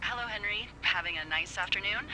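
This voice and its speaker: A woman's voice: medium pitch, a little fast. Wry woman lookout over noisy radio. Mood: serious, guarded. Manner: playful, sardonic. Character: wry, flirty, teasing female fire lookout supervisor. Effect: walkie-talkie radio. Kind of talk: naturalistic video game voice acting. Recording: radio-filtered voice with clear background noise.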